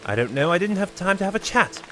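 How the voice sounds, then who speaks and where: A man's voice: sits high, sing-song, pushed and bright, soft background music, pitched above the performer's own voice, heavily acted. A man voicing a flustered male time traveller who talks hurriedly and muses aloud. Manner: quick, musing, breathless.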